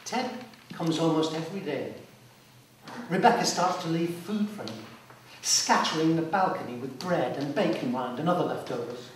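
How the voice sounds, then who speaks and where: A man narrating a scripted dramatic story live, in a measured, deliberate way. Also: a little high, lively intonation. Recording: distant, very echoey hall, clear noise.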